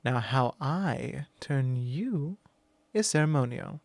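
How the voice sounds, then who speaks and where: A man's voice: mid-range voice, lively intonation, takes it slowly, a little mellow, no echo. A man voicing an arrogant, possessive immortal vampire in an ASMR roleplay, talking down in a drawl and sometimes whispering close to the mic. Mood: mocking.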